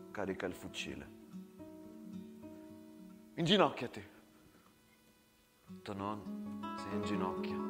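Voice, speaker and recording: A man tells a dramatic story as a theatrical monologue. He speaks very slowly in short, measured phrases with long pauses. His voice carries a long hall reverb, with clear guitar music behind. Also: fairly low pitch. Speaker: actor and storyteller.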